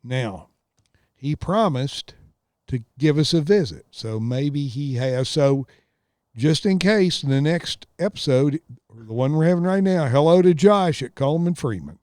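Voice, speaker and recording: A man's voice: on the high side, animated, measured pace, a little mellow, no echo, clean studio sound. A man, co-hosting a podcast in rambling casual chat and telling easygoing stories, recorded outdoors on a lakeside tower. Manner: easygoing storyteller. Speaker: podcast co-host.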